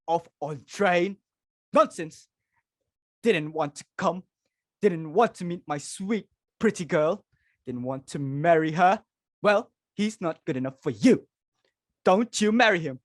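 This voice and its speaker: A woman's voice: deep voice, animated, normal speed, pauses often, no echo, studio quality. A woman playing a flustered, imperious middle-aged society hostess and mother in a stage comedy reading, dramatic and exclamatory, repeating herself for emphasis. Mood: indignant, scornful.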